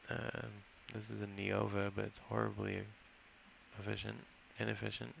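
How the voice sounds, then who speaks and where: A man's voice: lowish voice, completely flat delivery, normal speed, gravelly, a bit bright, highs cut off, slight background noise. An American man from California, rambling and correcting himself as he thinks aloud in a casual game dev log recorded at his computer. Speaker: game developer.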